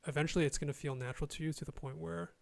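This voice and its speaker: A man's voice: a little high, steady tone, very fast, creaky. A young man chatting as a guest on a video call, hesitant, with many fillers and restarts, recorded on a close studio mic in a small room. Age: young adult. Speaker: guest, language learner and youtuber. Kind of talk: casual video-call conversation. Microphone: studio mic close in front, earphones.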